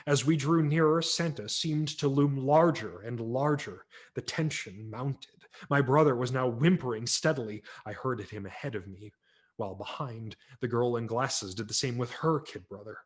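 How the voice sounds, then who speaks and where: A man narrating a humorous story aloud in a wry, expressive reading style for a virtual event. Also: mid-range voice, normal speed. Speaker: audiobook narrator. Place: home setup for a virtual event.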